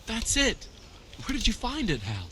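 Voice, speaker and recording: An animated, earnest wronged villager. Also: male voice, very high-pitched, normal speed, loud music behind the voice, exaggerated voice. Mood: amazed, grateful. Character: robbed, grieving village man, simple and grateful. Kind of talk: video game dialogue acting.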